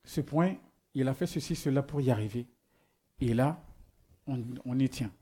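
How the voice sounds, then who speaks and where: A man's voice: mid-range voice, normal speed, dark tone. A man who is not a native speaker preaches a sermon, asking rhetorical questions and often restarting as he searches for words. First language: not French.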